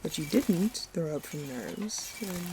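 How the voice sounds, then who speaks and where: A woman playing a commanding, protective snake woman, dominant yet fond, speaking with measured authority in a fantasy audio roleplay. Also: on the low side, animated, mellow, soft background music, low-quality recording. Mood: affectionate. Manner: measured, authoritative, fond toward her human.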